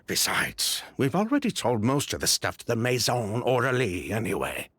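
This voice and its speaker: An actor voicing a polite, smooth-talking male merchant in a game, with veiled threats in his manner. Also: medium pitch, wildly swooping pitch, normal speed, fairly bright tone, no room sound. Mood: resigned. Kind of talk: game voice acting.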